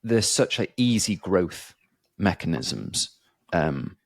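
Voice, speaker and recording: A man's voice: low-pitched, big pitch swings, slow pace, vocal fry, no echo, studio quality. A man hosting a podcast in casual chat, rambling with many fillers and restarts. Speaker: podcast host.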